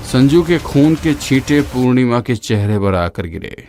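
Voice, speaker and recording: A man narrating a horror story in a steady, dramatic way, dropping lower at the grim moments. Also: measured pace. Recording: narration over loud background music.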